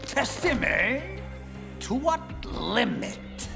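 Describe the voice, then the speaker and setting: An actor playing a theatrical, gloating mad bomber in a video game, playful and taunting. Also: male voice, very high-pitched, sing-song, drawn-out words, creaky, exaggerated voice. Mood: sly interest. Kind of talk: dramatic video game voice acting. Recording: loud music over the voice.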